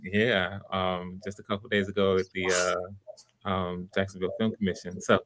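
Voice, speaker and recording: Low-voiced man hosting casual auditions. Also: little pitch movement, normal speed, fairly bright tone, low-quality recording. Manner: encouraging, conversational. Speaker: host and casting director of live auditions. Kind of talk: casual livestream feedback and hosting. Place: live video call stream.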